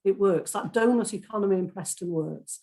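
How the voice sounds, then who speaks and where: A woman with a Lancashire accent giving a brisk, persuasive speech as a candidate at an election hustings. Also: mid-range voice, dark tone. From Lancashire, England. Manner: brisk, persuasive campaign speaker.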